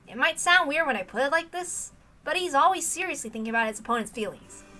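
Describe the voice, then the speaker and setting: Self-assured young genius fighter a woman acts him, good-humored and casual, high bright voice, for story drama. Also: lively intonation, fast talker, soft background music. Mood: fond, reflective.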